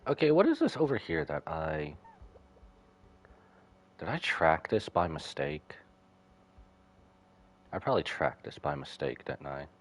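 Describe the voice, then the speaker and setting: A man's voice: very deep voice, huge pitch swings, unhurried, long pauses. A man livestreaming a video game with casual commentary, musing aloud to himself as he plays. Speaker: livestreaming gamer. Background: game music.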